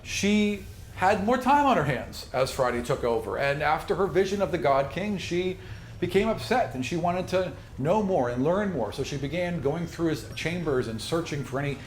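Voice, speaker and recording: A man's voice: a little high, lively intonation, normal speed. A man narrating a tabletop game as game master in a conversational, emphatic way. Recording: echoey room with background noise.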